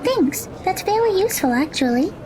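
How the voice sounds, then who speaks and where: A woman playing a cheerful, easygoing and curious Japanese high school girl in an audio drama. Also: sing-song, moderate pace, a crowd in the background. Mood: friendly, curious.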